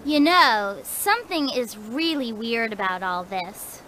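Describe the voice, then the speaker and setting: A woman's voice: a little high, animated, slow pace, music in the background, poor audio. A woman playing a determined, businesslike kid detective who leads the team in a children's game. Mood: serious. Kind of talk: scripted children's game voice acting.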